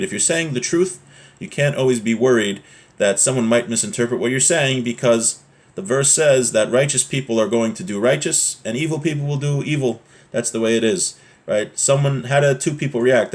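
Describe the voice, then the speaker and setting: A man with a mid-pitched voice teaches a religious class. He explains texts informally, in a conversational manner, and checks that the point is following as he goes. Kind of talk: informal religious lesson, reading Hebrew texts and explaining them.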